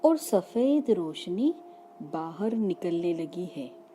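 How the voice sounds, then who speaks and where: A woman leading a guided meditation in soothing short phrases with long pauses between them. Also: on the low side, big pitch swings, warm tone. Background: background music.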